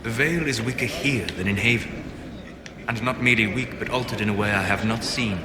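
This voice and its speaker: An actor playing a calm, formal, scholarly mage in a video game, with a measured, courteous delivery. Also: male voice, on the low side, natural voice. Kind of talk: dramatic game dialogue. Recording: game audio with background noise.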